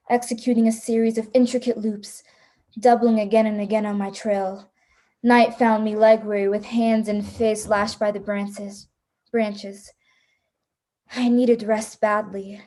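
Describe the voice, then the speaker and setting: Radio play heroine played by a woman: hunted, resolute, dramatic first-person storytelling in a soft-toned, fairly high voice. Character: frightened, resolute young woman, hunted shipwreck survivor.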